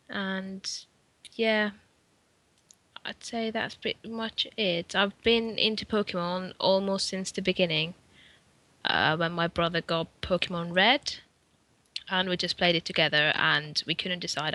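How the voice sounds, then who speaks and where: A woman from Finland, a podcast guest, chatting casually with fillers and soft trailing asides. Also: fairly low pitch. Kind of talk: casual chat.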